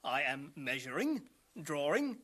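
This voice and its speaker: Dutiful engineer, matter-of-fact, officious, highish pitch, animated melody, radio drama. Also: male voice, measured pace, full character voice. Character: obedient, pragmatic company engineer, dismissive of tradition. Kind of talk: 1980 radio drama acting.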